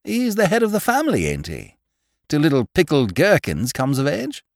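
A man voicing a tactless, dim young gentleman with an upper-class English accent, bumbling through slangy questions in an audiobook. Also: a little high, huge pitch swings, normal speed, creaky, no room sound, clean studio sound, voice pushed up, full character voice. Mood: blithe, tactless.

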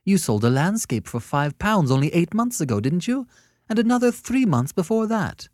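A man voicing a quick-tempered young woman artist in an audiobook story, sharp-tongued yet remorseful, with an emotional, theatrical way of talking. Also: sits high, very animated, no room sound, studio quality, voice pushed up. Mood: cutting.

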